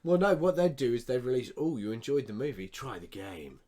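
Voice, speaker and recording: A man's voice: mid-range voice, big pitch swings, a little fast, dark tone. A man co-hosting a podcast with casual, blunt banter and some swearing. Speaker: podcast co-host.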